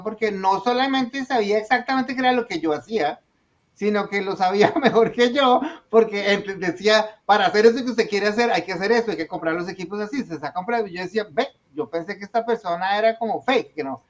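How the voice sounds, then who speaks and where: Storytelling man, high voice. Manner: animated storyteller, acts out quoted dialogue. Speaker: small business owner, video producer. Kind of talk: casual podcast conversation. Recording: dull lo-fi sound.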